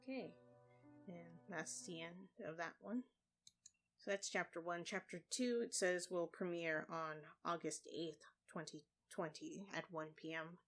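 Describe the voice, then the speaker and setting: A woman's voice: lowish voice, unhurried, soft background music. Rambling woman reacting casually. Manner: relaxed, rambling, with many ums. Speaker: reaction video host. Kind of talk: casual commentary.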